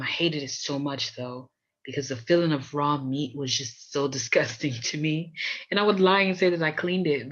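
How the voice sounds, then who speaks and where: A woman's voice: low-pitched, fairly bright tone. American woman storyteller, slightly dull recording. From Miami, USA. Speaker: podcast host. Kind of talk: personal storytelling introduction.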